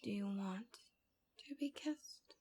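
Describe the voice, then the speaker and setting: A woman playing a manipulative villainess in an ASMR roleplay, tenderly soothing her captive in a gentle, coaxing, breathy voice that slips into whispers. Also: mid-range voice, slow pace. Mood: seductive, possessive devotion. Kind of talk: ASMR roleplay, intimate soft speech slipping into whispers.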